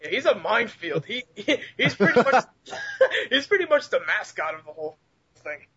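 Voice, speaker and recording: A young man who voices fan dubs, chatting casually, giggly, sweary and excitable. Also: sits high, moderate pace, husky. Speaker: fan dub voice actor. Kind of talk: casual podcast chat. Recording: dull lo-fi recording.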